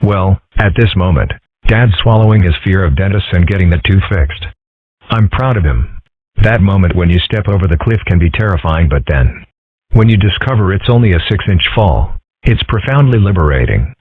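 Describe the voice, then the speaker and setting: A man's voice: low voice, animated, moderate pace, husky. A man voicing an earnest, philosophical young male fox in a comic dub, with a thoughtful, dry, articulate manner. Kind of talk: comic strip dub, character acting. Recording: muffled, dull, dry audio.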